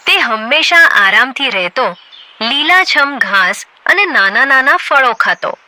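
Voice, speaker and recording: A woman narrating a children's cartoon story in a clear storytelling manner. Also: high-pitched, animated, a little slow, bright, forceful tone, slight background noise. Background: background music.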